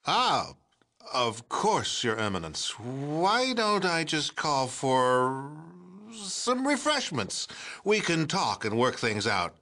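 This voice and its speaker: Video game character: a pompous older President of a post-war America. He has a bright, forceful American voice at an ordinary male pitch. His delivery is blustering and theatrical, that of a self-important, deluded politician. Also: big pitch swings, takes it slowly, exaggerated voice. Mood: flustered, placating.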